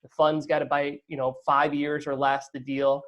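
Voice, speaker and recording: Guy with a slightly high voice chatting business, explains a lot, keeps checking you follow. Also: level tone, moderate pace, fairly bright tone. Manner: talkative, explanatory, frequent check-in questions. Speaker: interview guest, real estate fund operator. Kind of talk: conversational business interview.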